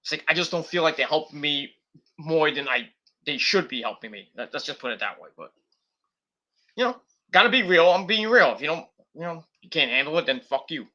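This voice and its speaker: Rambling bright-voiced man in his forties. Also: fairly high pitch, expressive, brisk, lo-fi sound. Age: 40s. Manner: rambling, self-correcting, frequent fillers. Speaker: YouTuber. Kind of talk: rambling casual vlog chat. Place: home, at a desktop computer.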